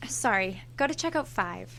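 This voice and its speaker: An actress playing a sardonic, self-assured store cashier of thirty in a horror audio drama. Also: female voice, mid-range voice, animated, normal speed, creaky, pushed and bright. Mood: breezy, dismissive. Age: 30s. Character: brazen, entitled thirty-year-old store cashier, sly confidante.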